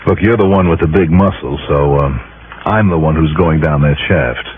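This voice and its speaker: A man's voice: rumbling low, moderate pace, slight background noise. An actor playing a tough, cool insurance investigator, narrating his case with wry, clipped delivery in an old radio crime drama. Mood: easy, bantering. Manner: wry, clipped hardboiled storytelling. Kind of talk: 1950s-60s radio drama acting, hardboiled first-person narration. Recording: muffled lo-fi old radio recording.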